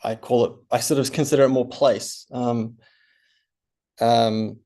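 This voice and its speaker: A man's voice: medium pitch, steady tone, unhurried, warm tone. A man writer on a panel, talking hesitantly with many ums and restarts. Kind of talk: panel discussion answer.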